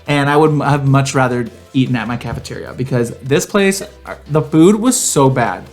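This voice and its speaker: A man's voice: medium pitch, normal speed, soft background music. A man co-hosting a podcast, telling stories in a casual, gossipy, slangy way and acting out dialogue. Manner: animated slangy retelling, quoting dialogue, saying "girl". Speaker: podcast co-host. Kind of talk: casual chat, gossipy storytelling.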